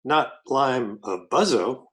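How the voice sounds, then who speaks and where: Formal, dapper company envoy acted by a man, medium-pitched. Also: lively intonation, slow pace, a bit bright. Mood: aloof. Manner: courteous, ambassadorial. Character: dapper, formal male American soft-drink company envoy. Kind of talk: old-time radio comedy-drama acting.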